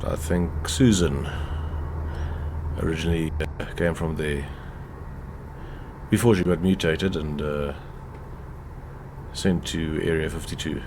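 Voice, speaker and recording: Easygoing male streamer with an Afrikaans accent, deep low register, unhurried delivery, steady engine and road noise underneath. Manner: easygoing, unhurried, pauses with frequent fillers. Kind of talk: casual livestream chat. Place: home, seated in a chair.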